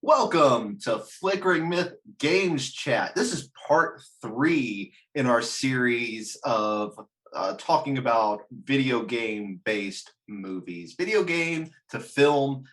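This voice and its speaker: A man's voice: mid-range voice, animated, takes it slowly, fairly bright tone. A man hosting a casual, unscripted podcast chat, blunt and profane in his opinions. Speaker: show host.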